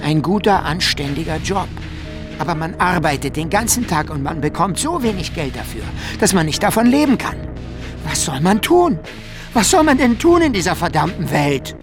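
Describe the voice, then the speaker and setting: A sad, sincere young man confides in a friend in a radio drama. He is solemn and plaintive in a fairly high voice, over clearly audible music. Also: big pitch swings, moderate pace. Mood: despairing. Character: dejected young Somali man, sincere, confessing to his friend. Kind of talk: radio play monologue.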